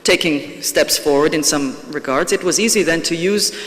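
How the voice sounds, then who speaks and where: A man's voice: on the high side, moderate pace, a bit bright. A man from Croatia speaking English, giving a conference panel talk in a hesitant way, with many fillers and restarts. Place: conference hall. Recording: long reverb of a conference hall.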